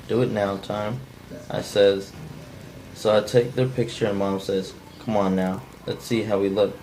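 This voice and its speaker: A man's voice: lowish voice, a little mellow, natural voice, rough recording. A non-actor man cold-reading the part of an edgy, blunt, folksy killer in a script table read. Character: edgy, cold-blooded man confessing to killing. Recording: faint background noise.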